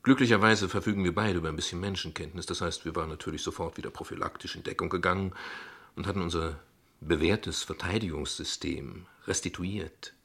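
An actor playing a guarded, ironic father in a radio play, speaking in measured, eloquent, formal sentences. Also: deep voice, gravelly, a bit bright, breathy edge, exaggerated voice. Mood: sardonic. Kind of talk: radio play dialogue acting.

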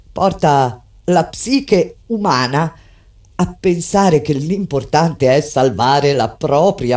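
An actress playing a grave resistance fighter, delivering a solemn, declamatory theatrical monologue as a recollection of an uprising. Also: female voice, sits low, a little mellow. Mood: grave, urgent. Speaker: stage actress narrating. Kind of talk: dramatic theatrical monologue with live music. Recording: music under the voice. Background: music.

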